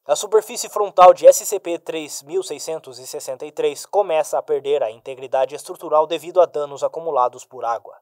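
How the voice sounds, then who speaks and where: A man narrating a formal report in a measured reading. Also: fairly high pitch, a little mellow, no echo, studio quality.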